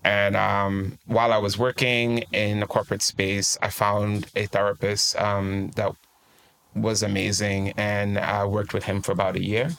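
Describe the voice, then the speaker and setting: A man talks in a low, gravelly voice. He shares his story in a reflective, open way, like a guest on a casual interview podcast. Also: completely flat delivery, unhurried, fairly bright tone. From Trinidad and Tobago. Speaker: guest life coach.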